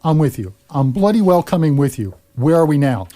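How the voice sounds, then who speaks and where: Man as a bewildered earthman, mellow and animated. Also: a little high, fairly quick. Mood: bewildered, exasperated. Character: bewildered, put-upon ordinary earthman, hesitant and polite. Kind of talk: radio play acting.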